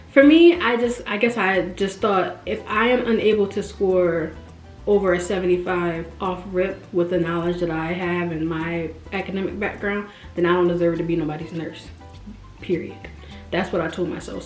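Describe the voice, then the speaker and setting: A woman in her twenties chatting casually and expressively to her viewers, jumping up in pitch when she stresses a point. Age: 20s. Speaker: vlogger. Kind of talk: casual direct-to-camera chat. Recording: roomy echo with faint music.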